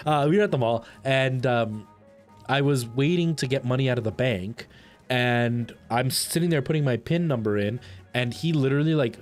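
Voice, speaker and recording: A man's voice: medium pitch, darkish tone. Casual chatty male game streamer, music behind. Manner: chatty, rambling anecdotes. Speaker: live streamer. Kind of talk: casual chat with viewers over a game stream, storytelling.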